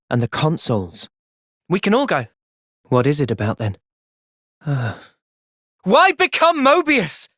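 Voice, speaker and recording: An actor playing an earnest, thoughtful young soldier in a video game, soft-spoken and sincere but resolute. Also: male voice, fairly high pitch, no room sound, clean studio sound, heavily acted. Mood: grave, earnest. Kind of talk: video game cutscene acting.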